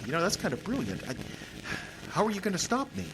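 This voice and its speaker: Nervous, stammering sidekick with swooping pitch. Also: very animated, quick pace, noisy background. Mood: bewildered. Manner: stammering, questioning. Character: nervous, doubting, flustered reluctant sidekick JJ. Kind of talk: audio drama acting.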